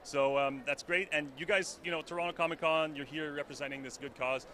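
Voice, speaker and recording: A man's voice: fairly high pitch, steady tone, fairly quick, sharp tone, a little hiss or hum. A man interviewing cosplayers at a convention, asking upbeat questions and affirming their answers. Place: outdoors at a busy convention.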